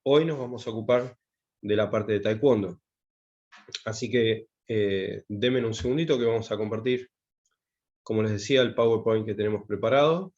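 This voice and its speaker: A man's voice: fairly low pitch, normal speed, a little mellow, lo-fi sound. A man, a taekwondo instructor giving an online class, hesitant and full of fillers and restarts. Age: 50s. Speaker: taekwondo instructor teaching English.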